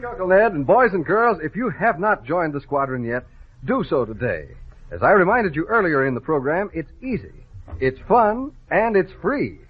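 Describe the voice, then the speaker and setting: A man's voice: a little high, big pitch swings, moderate pace, husky, muffled recording. A man with an American accent, announcing on a 1950s children's radio show, urging young listeners in a cheery, direct way on an old broadcast recording.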